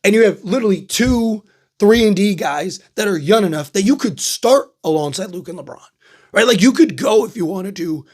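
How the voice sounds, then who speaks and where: A man's voice: sits high, animated, moderate pace, a little mellow. A man who is losing his voice to a cold, hosting a casual solo basketball talk show and often tagging his points with "right?". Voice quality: frequent "right?" tags and filler words. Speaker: basketball podcast host. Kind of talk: casual solo sports commentary.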